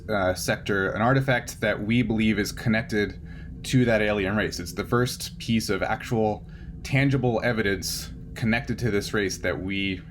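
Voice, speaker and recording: A man's voice: on the low side, lightly acted. A man playing a polite, earnest male scientist in a tabletop game, explaining things formally with hesitations. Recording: clear steady background noise.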